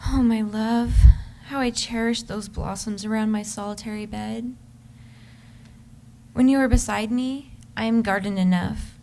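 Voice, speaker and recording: Yearning, adoring wife, played by a woman, unhurried and ardent, creaky edge, stage reading with slight background noise. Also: on the high side, steady tone, a little mellow. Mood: tender, grateful. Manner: ardent, measured, with stumbles in reading. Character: yearning, adoring pregnant wife writing to her husband. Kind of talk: dramatic reading of a love letter on stage.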